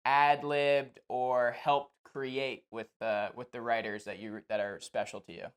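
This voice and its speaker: A man's voice: mid-range voice, level tone, unhurried. Enthusiastic man hosting a casual interview. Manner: enthusiastic, appreciative. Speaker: interviewer, show host.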